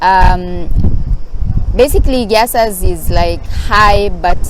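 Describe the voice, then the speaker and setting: A woman from Kenya, sitting on rocks outdoors and giving casual vlog advice in a hesitant way, pausing often with fillers. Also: on the low side. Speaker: vlogger. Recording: outdoor recording with traffic noise.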